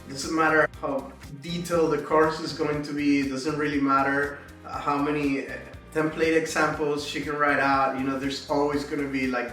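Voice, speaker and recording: Man, mid-pitch, relaxed testimonial speaker, recorded from a distance in a reverberant room. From Miami. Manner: casual, reflective, frequent "right?" and "you know". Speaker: course student giving a testimonial. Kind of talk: testimonial interview. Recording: echoey room, distant mic, faint music.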